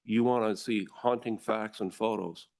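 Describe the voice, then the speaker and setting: A deep, low-pitched American man testifies before a legislative committee. He speaks with forceful conviction. He addresses the room directly, like a prosecutor making his case. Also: fairly even intonation, normal speed, vocal fry. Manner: forceful, earnest, addresses the room as ladies and gentlemen. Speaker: district attorney testifying.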